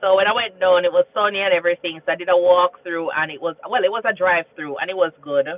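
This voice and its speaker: A talkative Jamaican woman hosting a relaxed livestream, answering viewers and drifting into stories, often checking in with "you understand?". Her voice comes through a thin, tinny, phone-like signal. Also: on the low side, fast talker. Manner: chatty and storytelling, repeats phrases and asks "you understand?". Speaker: nurse and livestream host. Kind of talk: casual livestream chat reading viewer comments.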